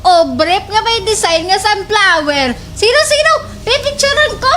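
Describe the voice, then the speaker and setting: A woman's voice: extremely high pitch, expressive, a little slow. A woman playing a giggly, teasing, social-media-obsessed young woman in a slapstick radio drama, slangy and mocking. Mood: gleeful mocking.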